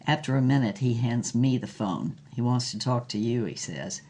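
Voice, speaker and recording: A woman's voice: a bass voice, expressive, a little mellow, a little hiss or hum. An actress playing a brazen, worldly ninety-year-old film star woman, recounting her affairs frankly and sassily in an audio drama interview. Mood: brazen, animated. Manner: frank, sassy, unhurried storytelling.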